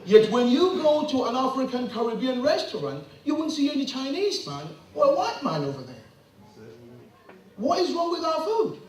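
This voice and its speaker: A man's voice: extremely high pitch, big pitch swings, normal speed. Impassioned man in an echoey hall. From Ghana. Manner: rhetorical questions, rising to emphatic peaks. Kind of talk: impassioned public address. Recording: very echoey room, mic from a distance.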